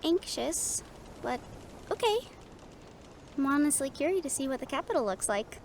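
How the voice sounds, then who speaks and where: A woman playing a lively, eager young fighter in a fantasy audio roleplay, playful and expressive with a touch of anxiety. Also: high voice, normal speed, slight background noise. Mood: curious, uneasy.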